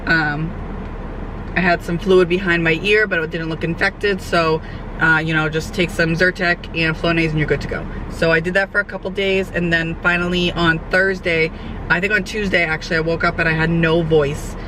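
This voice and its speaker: A young woman, a fitness trainer, chats casually in a vlog update, her voice hoarse and nasal from a cold. She rambles with lots of fillers. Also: on the low side, level tone, normal speed. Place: sitting outdoors, traffic nearby. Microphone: camera mic. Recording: outdoor camera audio with traffic noise.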